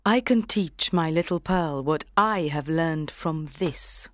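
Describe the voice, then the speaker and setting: A woman's voice: low voice, slow pace, no room sound. A woman playing a defiant, desperate mother in a dramatized audiobook, speaking with emotional insistence. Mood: calm resolve. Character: defiant, desperate mother pleading to keep her child.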